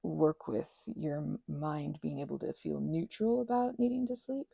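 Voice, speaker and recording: A woman chatting gently in a meandering ASMR ramble, full of fillers and restarts, speaking affectionately to her listeners. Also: low voice, moderate pace, vocal fry, husky, no echo, dull audio. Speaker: ASMR creator. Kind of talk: soft-spoken ASMR ramble while crafting.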